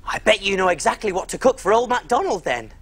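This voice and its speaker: A man's voice: high-pitched, sing-song, fast, fairly bright tone, breathy edge, exaggerated voice. An actor playing an enthusiastic grown-up male cook who speaks in eager exclamations for a children's TV show. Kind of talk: children's TV acting.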